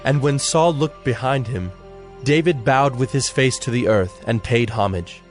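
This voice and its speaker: A man reading scripted Bible story narration in a measured, solemn manner. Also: medium pitch, soft background music.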